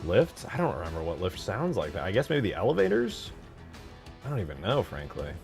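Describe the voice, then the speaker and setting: Low-voiced man, casual and animated. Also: brisk, creaky, soft background music. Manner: informal, slangy, thinking aloud. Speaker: game modder showing work in progress. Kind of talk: casual rambling screen-share commentary.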